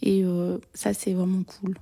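Young female interviewee, mellow and level, informal, hesitant delivery. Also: lowish voice, completely flat delivery, measured pace. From Belgium. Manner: hesitant, searching for words. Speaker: interview guest. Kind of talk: casual, personal interview talk.

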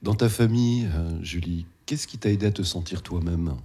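Male interviewer, deep and very rough-edged, posing personal questions unhurriedly. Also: moderate pace, mellow.

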